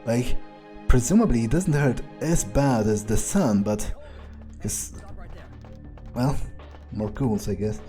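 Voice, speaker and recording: A man playing a foul-mouthed, sardonic vampire, musing aloud with wry, hesitant pauses as he plays a video game. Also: mid-range voice, warm tone, faint music behind, lightly acted. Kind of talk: in-character playthrough narration, musing aloud.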